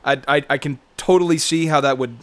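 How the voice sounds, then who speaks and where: A young man with a slightly high voice who rambles, restarts his sentences and hedges his opinions. He talks casually and jokes around. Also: brisk. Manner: rambling, hesitant, joking. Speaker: video creator. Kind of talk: casual chat over a game stream.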